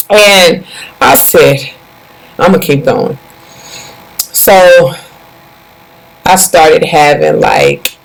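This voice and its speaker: A woman's voice: deep voice, rough recording. A woman, chatting casually to her viewers and calling them y'all. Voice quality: raspy. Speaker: vlogger. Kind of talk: casual personal storytelling to viewers.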